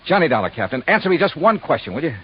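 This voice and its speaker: An actor playing a shrewd, hard-boiled insurance investigator in a 1950s radio drama, with a clipped, wry, insistent delivery. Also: male voice, on the high side, big pitch swings, racing. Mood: brisk, urgent. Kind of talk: 1950s radio detective narration and acting. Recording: muffled vintage radio recording, music behind.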